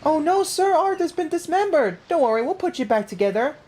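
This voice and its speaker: A woman voicing a small, excitable, childlike fairy girl companion from a video game, eager and exclamatory. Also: high voice, fairly quick, voice pushed up. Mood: alarmed. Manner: speaks of herself in third person, exclamatory.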